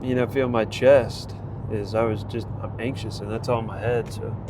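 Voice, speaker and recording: A man's voice: on the low side, fairly even intonation, normal speed. A man with a congested voice giving a rambling, casual vlog update on his training, full of fillers and restarts. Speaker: vlogger tracking a training program. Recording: noisy outdoor recording.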